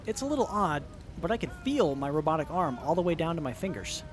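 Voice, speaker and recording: Nervous, earnest young male tinkerer played by a man for audio drama; stammery, flustered, very animated pitch. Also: fast, music in the background. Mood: excited. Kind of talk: post-apocalyptic audio drama acting.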